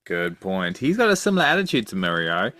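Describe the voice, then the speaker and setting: A man with a somewhat low voice chats as a podcast co-host. He is casual and thoughtful, hesitating as he goes and doubling back on short phrases. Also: wildly swooping pitch, fairly quick, sharp tone, dry recording, clean studio sound. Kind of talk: casual chat.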